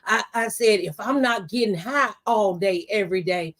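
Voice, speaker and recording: A woman's voice: medium pitch, moderate pace. A middle-aged woman giving a motivational faith testimony, speaking in an emphatic, exhorting way and repeating phrases for effect. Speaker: talk show panelist giving testimony.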